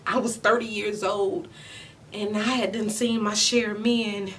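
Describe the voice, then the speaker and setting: A woman in her forties playing a weary, proud, loving mother in an emotional stage monologue, speaking deliberately with heavy pauses. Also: steady tone, lightly acted. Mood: wistful, hushed. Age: 40s. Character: weary, proud middle-aged mother and widow, wounded but loving. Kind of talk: emotional stage monologue, self-taped audition. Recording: distant mic, noticeable low rumbling noise.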